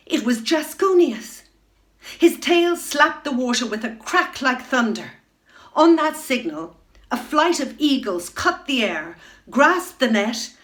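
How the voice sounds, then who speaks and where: A middle-aged woman reading a children's bedtime story aloud at home, expressive, into a webcam. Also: sits high. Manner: expressive, rising to loud excitement in dramatic moments. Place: room at home.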